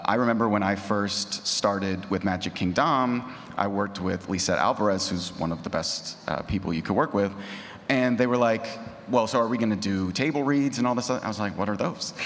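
Low-voiced man on a panel, echoey hall. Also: fairly bright tone. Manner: reflective, self-questioning. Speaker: podcast creator, panelist. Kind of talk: unscripted panel discussion. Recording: echoey hall, background noise.

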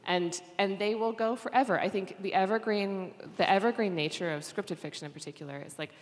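A woman speaking off the cuff on a panel, emphatic and often restarting her phrases. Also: mid-range voice. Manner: emphatic, halting with restarts and repeats. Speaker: panelist from a fiction podcast company. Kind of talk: unscripted panel discussion. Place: indoor conference panel hall. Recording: reverberant hall.

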